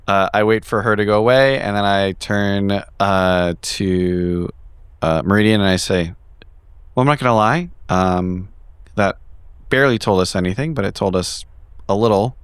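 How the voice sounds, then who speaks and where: A man with a low, crisp voice takes his turn in a tabletop game. He talks politely and in a measured way, with hesitant pauses, on a dry recording. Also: no echo. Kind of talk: in-character actual play with casual asides.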